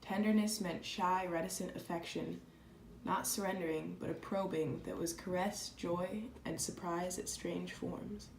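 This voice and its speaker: A woman playing an introspective, melancholy writer, reading literary prose aloud in a solemn, measured dramatization. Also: lowish voice, steady tone, creaky, a little mellow. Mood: wistful, tender. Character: introspective, melancholy woman writing about herself. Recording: echoey room, faint noise.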